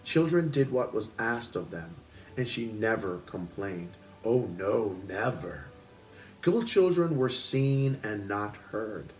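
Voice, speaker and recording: A man's voice: fairly low pitch, low-quality recording. A man hosting a book club, reading aloud expressively at home in a storytelling style.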